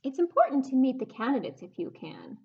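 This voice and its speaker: A woman hosting a children's story time, reading aloud in a sing-song, animated way and prompting young listeners with questions. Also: high-pitched, brisk, vocal fry, highs cut off.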